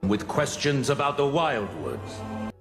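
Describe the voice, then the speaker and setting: A man's voice: mid-range voice, big pitch swings, slow. An actor playing a solemn, commanding king in a cinematic video game scene. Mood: solemn, regal. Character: solemn, commanding king releasing his servant. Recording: game audio under clear music. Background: music.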